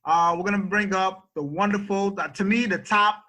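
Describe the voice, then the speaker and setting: A man hosting a livestream, introducing a guest with energetic, joking hype and lots of repeated words. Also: high voice, normal speed. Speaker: livestream host. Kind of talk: hyped casual introduction.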